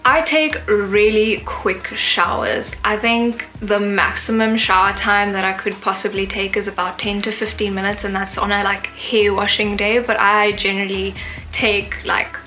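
Young woman YouTuber, about nineteen, crisp bright tone, casual filler-heavy chat from her bedroom. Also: medium pitch, fairly even intonation, a little slow, faint music behind. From Zimbabwe. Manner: chatty, upbeat, many "like" and "yeah" fillers. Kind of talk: casual facts vlog to camera. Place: bedroom with a sofa, indoors. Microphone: camera in front at desk distance.

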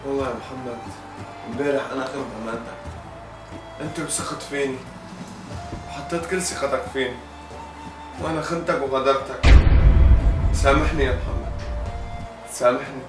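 A man's voice: mid-range voice, a little fast, pauses often, natural voice. A young man playing a remorseful friend who pleads for forgiveness, recorded from a distance in a roomy space with music behind. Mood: pleading. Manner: pleading, guilt-ridden.

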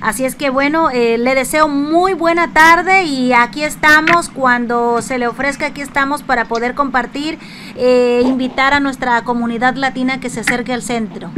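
A woman's voice: high voice, steady tone, moderate pace, noisy background. A woman hosting a conversational radio interview, speaking effusively and often repeating her words. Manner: effusive, hesitant phrasing with frequent repetition. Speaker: radio show host.

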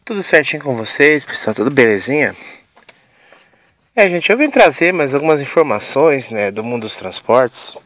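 A middle-aged man hosting his channel with a hoarse voice from a cold, chatting casually and often tagging his sentences with "né". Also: mid-range voice, big pitch swings, normal speed, fairly bright tone, no echo. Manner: chatty, frequent "né" and "pessoal" tags. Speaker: channel host. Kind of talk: casual vlog news.

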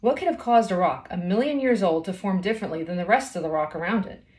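A woman's voice: medium pitch, animated, fast talker, darkish tone. A woman in her thirties reading a children's novel aloud as a storyteller, recorded indoors at home. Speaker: storybook reader. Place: small indoor room at home.

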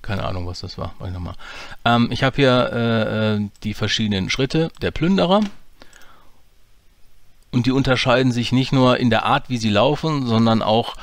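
A man walking through his dialogue editing in a relaxed, explanatory way, with many fillers. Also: mid-range voice, normal speed. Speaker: audio drama producer teaching editing. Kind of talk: screen-recorded software tutorial.

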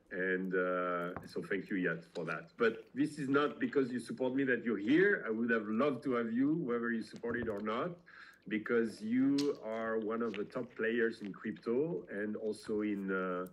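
A middle-aged man hosting a weekly video call, speaking casually with many halting fillers. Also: lowish voice, slight background noise, rough recording. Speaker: host.

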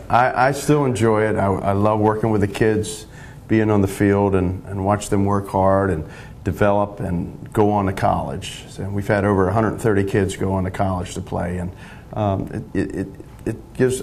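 An older man, a long-serving high school lacrosse coach, answering modestly and with restarts in a TV studio interview on a lapel mic. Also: a little mellow, slight background noise. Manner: modest, measured, stumbling restarts.

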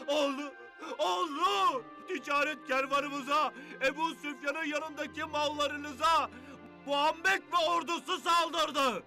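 A man voicing a panicked desert messenger in an animated cartoon, crying out urgently. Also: very high-pitched, slow pace, gravelly, soft background music, full character voice. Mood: frantic alarm. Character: panicked male desert messenger raising the alarm. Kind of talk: animated cartoon dub acting.

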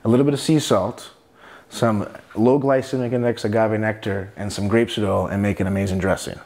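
Fast-talking man explaining healthy cooking. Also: fairly low pitch, fairly even intonation, a little mellow. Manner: quick, explanatory, lots of fillers and restarts. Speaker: nutrition chef host. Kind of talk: casual cooking demonstration. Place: home kitchen, indoors.

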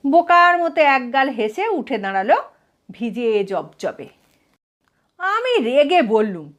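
A woman storyteller giving an expressive scripted reading of a comic short story. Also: on the high side.

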